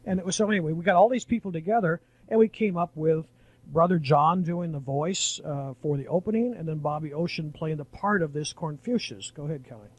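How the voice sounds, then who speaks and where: A man's voice: a little high, brisk, low-quality recording. A mature man, a veteran radio broadcaster, reminiscing in a rambling, anecdotal on-air chat. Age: middle-aged or older.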